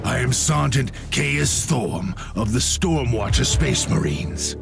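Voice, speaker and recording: A man's voice: mid-range voice, expressive, takes it slowly, vocal fry, over music. A man voicing a grim, zealous, battle-hardened space marine sergeant in a video game, with a sardonic, grandiose delivery.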